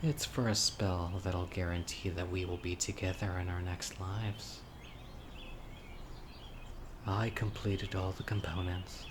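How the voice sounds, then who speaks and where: A man playing an obsessive, dying young witch in an audio roleplay, speaking to his beloved in a deliberate, intimate, pleading way. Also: deep voice, fairly even intonation, noisy background. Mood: calm, ominous. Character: obsessive, dying young male witch, devoted yandere lover. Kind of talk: audio roleplay, dramatic monologue to listener. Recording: outdoor nature ambience, crickets and birds. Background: insects, birdsong.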